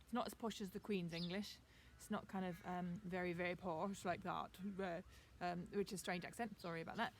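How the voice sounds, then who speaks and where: A woman teacher with a received pronunciation accent gives a chatty, relaxed video lesson outdoors in her garden, with frequent ums. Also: on the low side, brisk, hardly any pauses, slight background noise.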